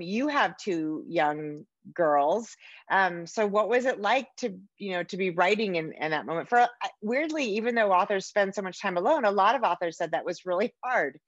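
Female interviewer, forties. Conversational, on the low side, dull-edged video-call audio. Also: dry recording. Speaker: interviewer host. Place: home room with bookshelves, indoors.

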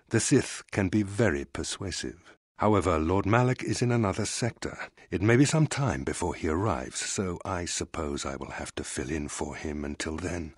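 A man's voice: extremely low pitch, slightly rough, dry recording, studio quality. An actor playing a cold, smug older male fleet admiral in a sci-fi game, speaking with formal, condescending menace. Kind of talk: dramatic video game acting.

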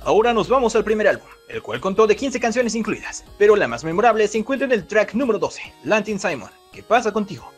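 High-pitched, animated man narrating. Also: fast talker, few pauses, slightly rough, faint music behind. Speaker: video host. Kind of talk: scripted narration for a music trivia video.

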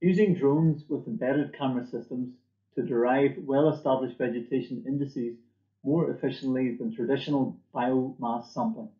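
A man giving a scripted product presentation for a company, in a measured, formal manner, recorded at a desk in a small room. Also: mid-range voice, steady tone, reverberant, muffled recording. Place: small indoor office or lab room.

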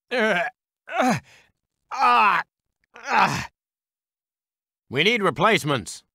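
An actor playing a gung-ho American World War Two heavy weapons soldier in a strategy game, with a clipped military delivery. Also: male voice, high-pitched, vocal fry, pushed and bright, no echo, studio quality, exaggerated voice. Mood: alarmed, shouting, then firm, reluctant.

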